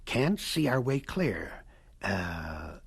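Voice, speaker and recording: An actor playing a shrewd, gruff college president in a radio drama, with a gravelly, husky voice and a deliberate manner. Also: male voice, lowish voice, very animated, exaggerated voice. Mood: brisk, confiding.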